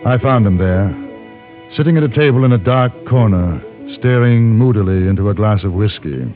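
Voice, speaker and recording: An actor playing a calm, probing frontier gentleman who is hero and narrator of a Western radio drama, measured and understated in his questioning. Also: on the low side, close to an everyday voice. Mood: narrating. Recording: dull old radio recording with music.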